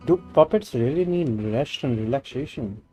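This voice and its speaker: A man's voice: mid-range voice, lively intonation, moderate pace, dark tone, low-quality recording. Male streamer, mid-range voice, casual reactions and read-aloud game lines, faint music behind.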